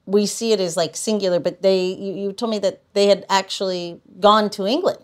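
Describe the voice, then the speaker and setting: A woman hosting a casual interview, thinking aloud in a halting way as she puts her points together. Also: medium pitch, fairly even intonation. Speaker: podcast host.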